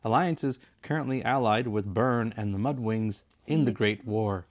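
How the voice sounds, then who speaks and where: A middle-aged man reads a children's story aloud with a medium-pitched voice. He takes the part of a patient father who explains things as he goes. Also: unhurried, noisy background. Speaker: father reading aloud with his son. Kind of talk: bedtime story read-aloud.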